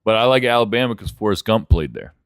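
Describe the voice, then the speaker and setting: A man's voice: lowish voice, sing-song, fast, clear, resonant tone, no echo, clean studio sound. A man co-hosting a casual sports chat, speaking bluntly and opinionatedly with plenty of swearing. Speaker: sports podcast co-host.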